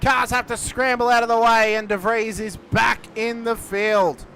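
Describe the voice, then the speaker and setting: A man giving live commentary on a sim race, cheeky and quick to crack jokes. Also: very high voice, flat delivery, a bit bright. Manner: cheeky, joking, quick to laugh. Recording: engine noise under the voice.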